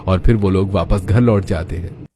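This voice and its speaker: A man's voice: low-pitched, moderate pace, dark tone, a little hiss or hum. A man reading scripted narration for a cartoon story.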